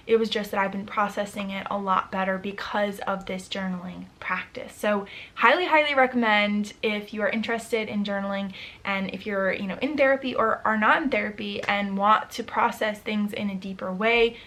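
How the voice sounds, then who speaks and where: Chatty young woman, slightly hoarse. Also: medium pitch, normal speed, hardly any pauses, a bit bright. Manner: chatty, upbeat, with frequent fillers. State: slightly hoarse, losing her voice. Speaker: vlogger. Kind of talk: casual vlog talk to camera.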